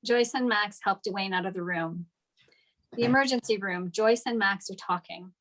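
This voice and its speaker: A woman's voice: medium pitch, moderate pace, a bit bright, rough recording. A woman narrator reading scripted stage directions at a screenplay table read.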